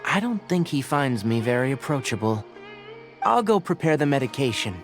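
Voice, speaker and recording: A man's voice: medium pitch, very animated, moderate pace, faint music behind. A man voicing a youthful forest scholar and mentor in a video game, articulate, precise and matter-of-fact. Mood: businesslike.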